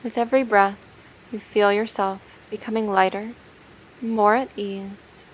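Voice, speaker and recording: Even-toned woman guiding a meditation. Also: medium pitch, a little hiss or hum, rough recording. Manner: soothing, gentle, unhurried with long pauses. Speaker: meditation guide. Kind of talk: guided meditation narration.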